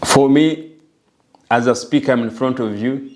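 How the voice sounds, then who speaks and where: A middle-aged man with a Rwandan accent delivers a spoken message like a preacher, deliberate and earnest, repeating phrases for emphasis. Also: mid-range voice. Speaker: speaker and preacher of African spirituality.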